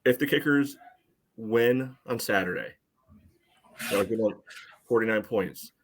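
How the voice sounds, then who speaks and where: A man's voice: on the low side, unhurried, slightly rough. A man co-hosting a soccer podcast, talking through analysis in a casual, dry, wry way. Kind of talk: casual sports analysis chat.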